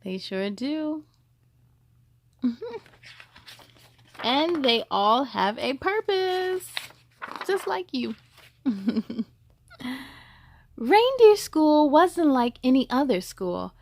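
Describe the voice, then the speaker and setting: High-voiced woman reading stories to children. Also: drawn-out words, gravelly, long pauses. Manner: animated asides addressed to young listeners. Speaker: host of children's read-aloud story time. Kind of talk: expressive picture-book reading for children.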